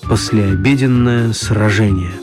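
A man narrating a children's radio play in a calm storytelling manner. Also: fairly low pitch, a little slow, loud music behind the voice.

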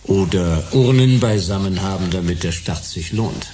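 A hard-boiled male detective played by an actor with a low voice, laconic and suspicious, putting dry, probing questions. The radio play has clear noise behind him. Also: moderate pace, dark tone, close to an everyday voice. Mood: curt, sceptical. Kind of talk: radio drama acting.

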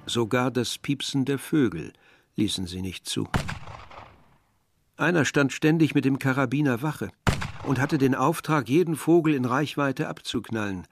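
A man's voice: medium pitch, lively intonation, normal speed, background noise, lightly acted. An actor playing a reflective village man recounting wartime memories in literary radio drama narration. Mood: measured, grave. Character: reflective male villager recalling war and his brother. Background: music.

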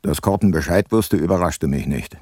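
An actor playing a world-weary private detective who narrates his case soberly in a crime radio drama, with a husky voice. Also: low voice, normal speed, dark tone, no echo, studio quality. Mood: sober, reflective.

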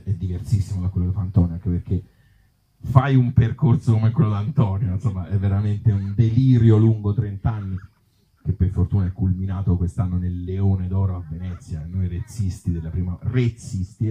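A Tuscan man with a very mellow, low voice speaks live as an author and actor. He is wry and self-mocking, slipping into hesitant asides. The recording is muffled and dull. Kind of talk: live reading of absurd comic pieces and casual talk with audience. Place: outdoor festival event.

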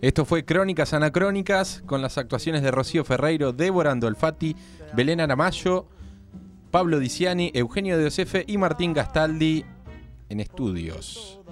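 A man's voice: on the high side, lively intonation, normal speed, soft background music. A man reading scripted credits and sponsor announcements on radio.

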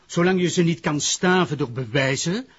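A Flemish actor playing a stern, dismissive, impatient public prosecutor, emphatic and formal, in a crime drama. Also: male voice, fairly high pitch, normal speed, a bit bright, husky, lo-fi sound. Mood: exasperated. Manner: emphatic, exasperated, authoritative. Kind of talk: broadcast crime drama acting.

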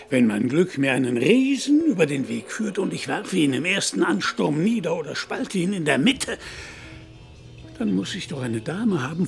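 An actor playing a deluded, gaunt Spanish would-be knight in a radio play, declaiming in pompous, archaic, ceremonious language. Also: male voice, on the high side, gravelly. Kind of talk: literary radio play acting.

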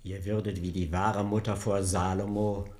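An actor playing a solemn, pious archbishop who speaks formally in a radio drama. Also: male voice, sits low, little pitch movement, moderate pace, a little mellow, natural voice. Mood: solemn, reproachful. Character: solemn archbishop envoy pleading with his king. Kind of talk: German radio drama acting.

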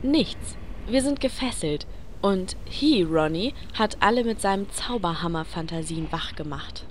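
A woman's voice: fairly low pitch, animated, measured pace. A woman playing a dry, sarcastic, exasperated adventurer in a comedy audio play. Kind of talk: radio comedy acting. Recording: light background noise.

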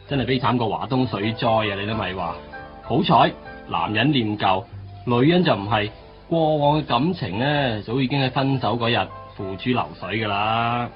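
A man's voice: medium pitch, lively intonation, moderate pace, lightly acted. Actor as glib hk buddy, late 20s guy, cantonese radio drama, chatty know-it-all, kinda muffled w faint music. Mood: opinionated. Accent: Hong Kong Cantonese. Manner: opinionated, chatty lecturing with emphatic asides. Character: glib married buddy, late twenties, philosophizing about women. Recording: dull lo-fi radio recording.

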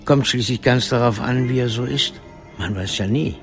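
An actor playing a laconic, world-weary, plain-spoken man with a husky voice in radio drama dialogue. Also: medium pitch, normal speed, natural voice. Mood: musing. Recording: music under the voice. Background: music.

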